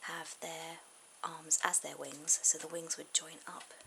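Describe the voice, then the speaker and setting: A woman softly whispering an ASMR drawing tutorial in a breathy, informal way, pausing mid-thought. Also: low voice, level tone. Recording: very noisy amateur camera audio.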